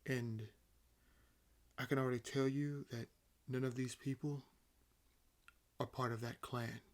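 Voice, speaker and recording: A sardonic vampire played by a man speaks at an unhurried pace in a medium-pitched male voice. He is casual and unfazed, with halting phrases, as if newly woken into an audio drama roleplay. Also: little pitch movement, close to an everyday voice. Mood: explanatory, measured.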